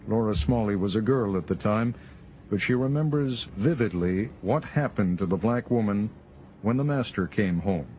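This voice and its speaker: A man's voice: lowish voice, animated, moderate pace. A man reading scripted documentary narration as the narrator of an archival clip. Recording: dull archival clip with faint noise.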